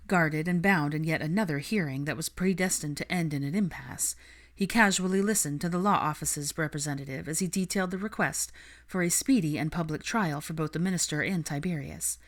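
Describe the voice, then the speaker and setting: A woman's voice: deep voice, a little fast. A woman reading fiction aloud as an audiobook narrator, in a scripted narration style.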